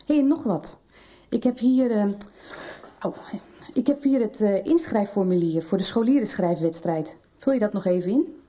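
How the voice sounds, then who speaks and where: A mild but frank female teacher played by an actress in a radio soap. Her voice is mellow and sits a little away from the microphone. The recording is slightly dull. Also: medium pitch, animated, moderate pace, recorded from a distance, natural voice. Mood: brisk, reassuring. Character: mild, frank woman school teacher advising a pupil on books. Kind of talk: Dutch radio soap acting.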